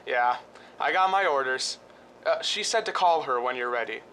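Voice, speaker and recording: Casting: actor as casual, clipped male accomplice with quiet menace, bright forceful tone, radio drama, slight noise. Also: medium pitch, lively intonation, normal speed, low-quality recording. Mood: offhand.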